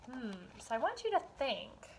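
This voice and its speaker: A woman's voice: high-pitched, big pitch swings, vocal fry. A woman kindergarten teacher leading a read-aloud lesson, explaining and posing questions to young children as she goes. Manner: instructional, asks questions and pauses for answers.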